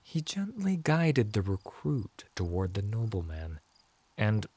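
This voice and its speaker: A man narrating a dramatic fantasy story in a measured, solemn manner. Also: fairly low pitch, sing-song, mellow, breathy edge, dry recording.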